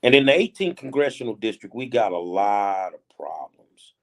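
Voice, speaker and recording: A man's voice: fairly low pitch, animated, moderate pace, fairly bright tone. Emphatic man in his fifties hosting. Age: 50s. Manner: casual, emphatic, informal grammar. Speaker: show host. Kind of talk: talk show interviewing.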